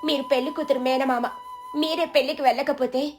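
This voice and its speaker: A woman voicing a gentle, devoted wife who speaks in a reassuring, coaxing way. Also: high voice, fairly even intonation, fairly quick, soft background music, natural voice. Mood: concerned, coaxing. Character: gentle, devoted wife comforting her worried husband.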